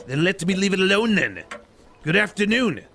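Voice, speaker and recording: A man playing a bitter, miserly old businessman with a British accent, gruff and sarcastic, in an amateur audio drama. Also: high-pitched, big pitch swings, normal speed, pushed and bright, slight background noise, heavily acted. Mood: stubborn, curt. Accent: put-on British. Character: bitter, miserly old male London businessman, scornful and curt.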